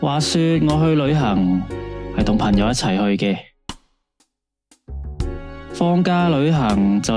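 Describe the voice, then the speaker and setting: An actor as a late-night radio host man with a Hong Kong Cantonese accent, chatting at an unhurried pace. He is easygoing, playful and inviting, with music under his voice. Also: medium pitch. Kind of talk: late-night radio phone-in hosting, radio drama.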